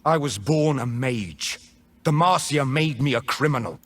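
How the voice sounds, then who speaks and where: Video game rebel mage, escaped prisoner, male: rough-voiced, dramatic, deliberate, with big swings in pitch. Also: animated, a bit bright. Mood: defiant. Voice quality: husky, rough. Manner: dramatic, deliberate, menacing delivery. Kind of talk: theatrical video game voice lines.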